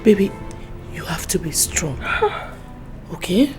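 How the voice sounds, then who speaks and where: An actress playing a gentle middle-aged mother, soothing her grieving daughter. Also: on the low side, animated, slow pace, music in the background. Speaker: consoling mother.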